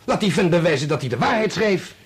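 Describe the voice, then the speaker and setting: An actor playing an indignant young conductor in a radio play, speaking in an insistent, combative, clipped way. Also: male voice, high voice, very animated, quick pace, breathy edge, full character voice. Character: indignant young conductor defending his dead father's name. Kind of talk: Dutch radio play acting.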